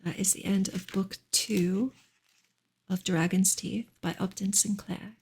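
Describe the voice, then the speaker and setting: Woman, ASMR streamer, very breathy and whispery, soft-spoken, gentle, fairly even intonation, smooth of creak. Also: mid-range voice, unhurried. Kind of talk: soft-spoken ASMR book reading and chat.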